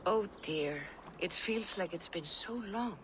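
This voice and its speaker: An actress playing a mystical seer who speaks softly and slowly. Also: female voice, on the low side, background noise. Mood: serene. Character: female viking seer, serene and mystical. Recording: game cutscene over noticeable ambience.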